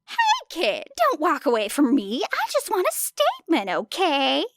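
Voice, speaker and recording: A woman voicing a loud, pushy, indignant news reporter in an audiobook. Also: sits high, wildly swooping pitch, unhurried, no echo, studio quality, voice pushed up, exaggerated voice. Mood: insistent. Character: pushy, nagging news reporter badgering a student. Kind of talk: audiobook character dialogue.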